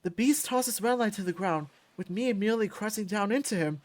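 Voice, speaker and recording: A woman reading a visual novel aloud as expressive first-person narration. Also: mid-range voice, brisk, slight background noise. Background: music.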